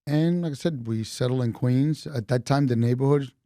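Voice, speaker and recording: Mellow New York-accented man in his fifties. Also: mid-range voice, moderate pace, no room sound, clean studio sound. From Colombia. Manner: relaxed, chatty, laughs between points. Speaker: retired police officer, interview guest. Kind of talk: casual storytelling in an interview.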